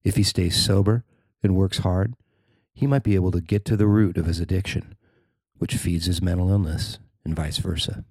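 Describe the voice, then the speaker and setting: A man's voice: sits low, fairly even intonation, normal speed, a little mellow, slightly rough, no echo. A man narrating a scripted news feature as its writer and reader.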